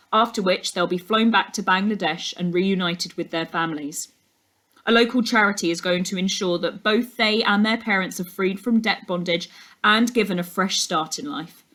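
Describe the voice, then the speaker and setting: A woman voicing an earnest detective uncle in a storybook, measured and serious. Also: mid-range voice, a bit bright, close to an everyday voice. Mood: reassuring. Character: earnest, proud detective uncle, grown man. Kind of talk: storybook dialogue.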